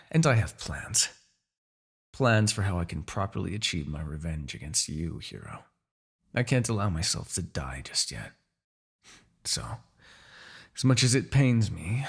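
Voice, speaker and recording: Man acting husky, theatrical, vengeful demon king. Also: low voice, full character voice. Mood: resigned, calculating. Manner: theatrical, gloating, with sighs and dark chuckles. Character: vengeful, proud demon king, bitter in defeat. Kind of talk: dramatic fantasy ASMR roleplay monologue.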